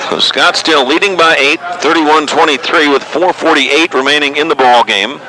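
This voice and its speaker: A man calling live sports on radio as play-by-play announcer, given to excited shouting on big plays. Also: on the high side, moderate pace, rough recording. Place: broadcast position at outdoor sports stadium. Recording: crowd and outdoor noise behind voice. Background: crowd noise.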